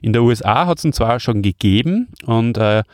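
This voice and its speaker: A man's voice: mid-range voice, animated, normal speed, no echo, clean studio sound. A man hosting a podcast, presenting in a casual, conversational way and addressing his listeners. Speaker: podcast host.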